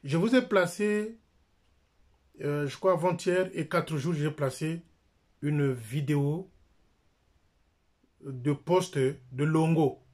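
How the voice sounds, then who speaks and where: A man's voice: on the high side, slow, long pauses, darkish tone. A middle-aged man with a Congolese French accent, speaking straight to a close phone camera in a small room, insistent and repeating his points. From Republic of the Congo. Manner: insistent, repeats phrases, jabs with his finger. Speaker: church member and critic. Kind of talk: direct-to-camera denunciation.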